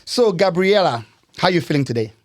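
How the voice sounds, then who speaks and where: A man's voice: fairly high pitch, lively intonation, a little fast. A man hosting a podcast, interviewing in a casual style and often repeating words and phrases back. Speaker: podcast host.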